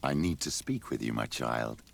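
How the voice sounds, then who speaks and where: An old man of the church in a game cutscene. His voice is extremely low and full of gravel, and he speaks in solemn, fatherly, formal phrases. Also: animated, moderate pace, exaggerated voice. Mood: confiding. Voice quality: very deep, gravelly. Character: solemn old clergyman, fatherly, devout, scheming. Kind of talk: video game cutscene acting.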